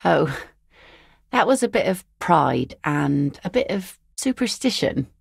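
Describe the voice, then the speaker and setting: A woman voicing an easygoing, philosophical seafaring mother in a video game, with a wry, gently teasing, folksy manner. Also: deep voice, sing-song, slow, vocal fry, husky, dry recording, clean studio sound, full character voice. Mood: wistful, musing. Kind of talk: naturalistic video game dialogue acting.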